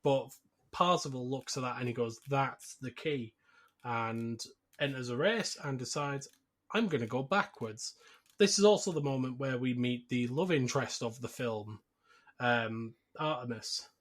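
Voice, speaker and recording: Man, podcast host, mid-pitched voice, casual film recap, conversational. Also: measured pace. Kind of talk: explaining a film plot in casual podcast chat.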